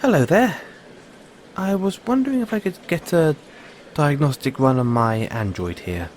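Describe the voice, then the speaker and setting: A man with a British accent acting in an audio roleplay as a kindly, anxious, furtive android owner, speaking haltingly with stammers. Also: on the high side, expressive, darkish tone, slight background noise, close to an everyday voice. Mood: nervously polite.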